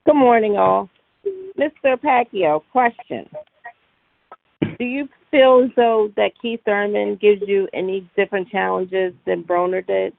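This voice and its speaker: A woman's voice: medium pitch, steady tone, a little slow, creaky, dry recording. A woman calling in as a reporter, asking press questions politely. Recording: tinny phone line.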